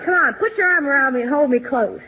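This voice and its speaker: Bossy high-pitched country gal. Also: female voice, animated, fast talker, very noisy. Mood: bossy, amorous. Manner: folksy hillbilly grammar. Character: bossy, flirtatious rustic country gal wielding a shotgun. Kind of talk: old-time radio comedy acting with singing. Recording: tinny old radio recording with music.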